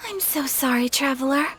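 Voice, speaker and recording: An actress playing a gentle, polite young noblewoman in an anime-style game, speaking with earnest, hesitant courtesy. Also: sits high, a little hiss or hum, heavily acted. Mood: flustered, apologetic. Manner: polite, hesitant, soft-mannered.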